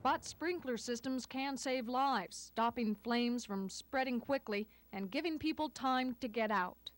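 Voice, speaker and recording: A woman's voice: on the high side, a little slow. A woman TV news reporter narrating a scripted report on an archival 1980s broadcast.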